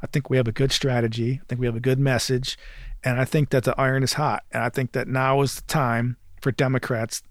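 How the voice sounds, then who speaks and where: A middle-aged man from Western New York with a mixed Great Lakes and Southern accent, talking casually as a candidate guest, relaxed and chatty with many fillers and restarts. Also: medium pitch, fairly quick, no echo, studio quality. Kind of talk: casual conversation.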